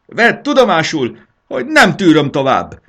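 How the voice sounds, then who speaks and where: Man as furious old she-dragon, high and blaring. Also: wildly swooping pitch, heavily acted. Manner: loud, blaring, scolding. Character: furious old female dragon, fed-up scolding wife. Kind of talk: dramatized children's storybook reading.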